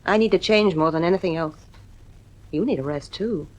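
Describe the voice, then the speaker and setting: A woman's voice: lowish voice, moderate pace, close to an everyday voice. An actress playing an anxious, nervy mother in a radio drama, earnest and insistent with her husband. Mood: earnest pleading.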